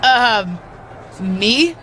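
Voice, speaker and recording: A friendly, wary young wrestler in a game story scene. Her voice is bright and fairly high, and she talks casually with a little hesitation. Faint noise sits behind her. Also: lively intonation, very slow, a faint crowd, heavily acted, poor audio. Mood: bemused. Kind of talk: video game cutscene dialogue.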